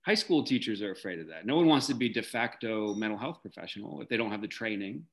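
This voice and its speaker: Man, 40s, fairly low voice. Thoughtful interview guest on a video call, measured, articulate, hesitates over word choice. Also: expressive. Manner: measured, articulate, searches for words with frequent pauses. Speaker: interview guest, theater director. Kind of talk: reflective interview answer. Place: indoor room, video call.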